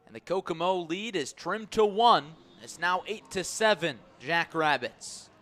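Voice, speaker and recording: Bright, punchy male baseball radio announcer. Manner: measured between pitches, swelling to excited calls on plays. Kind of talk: live radio sports commentary.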